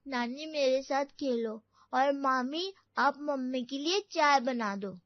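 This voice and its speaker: Woman voicing a whiny high-pitched little boy. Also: dry recording, muffled recording. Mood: calling, complaining. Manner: drawn-out pleading and calling.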